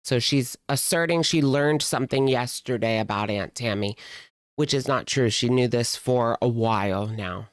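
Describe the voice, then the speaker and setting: A woman streamer reacts casually with snark and plenty of slang. Her voice is clean and smooth, set in a dry, close sound, and she drags out words to make a point. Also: extremely low pitch, no echo. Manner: snarky, slangy, drawn-out emphasis. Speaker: reaction streamer commenting on a video.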